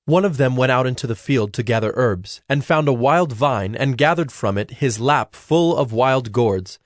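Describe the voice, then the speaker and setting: A man reading scripted Bible narration in a measured, solemn manner. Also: medium pitch, expressive, no echo, clean studio sound.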